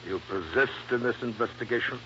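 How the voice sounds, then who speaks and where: An actor as a sharp-voiced, menacing landowner. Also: male voice, medium pitch, brisk, muffled recording, close to an everyday voice. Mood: cold menace. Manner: stern, formal, threatening. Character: menacing, impatient, powerful landowner warning off an investigator. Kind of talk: 1950s radio drama acting. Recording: noisy, band-limited old radio recording.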